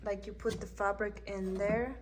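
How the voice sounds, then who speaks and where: A woman's voice: medium pitch, fairly even intonation, moderate pace, background noise. A young woman from Russia with a Russian accent, chatting casually and thinking aloud as she shows off her cross-stitch haul at a table. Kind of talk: casual show-and-tell haul. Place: indoors at a table.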